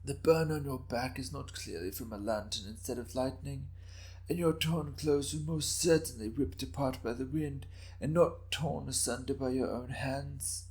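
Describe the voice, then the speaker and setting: A man voicing a shrewd, courteous old Japanese daimyo with measured, formal speech in a dramatic reading of historical fiction. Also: mid-range voice, creaky, pitched above the performer's own voice, close to an everyday voice. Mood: gently placating. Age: older.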